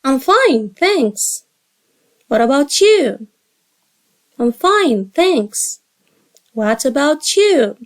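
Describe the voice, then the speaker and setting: A woman English teacher reading short dialogue lines slowly and carefully for learners to repeat after her. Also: high-pitched, big pitch swings. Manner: slow, carefully spaced drill reading.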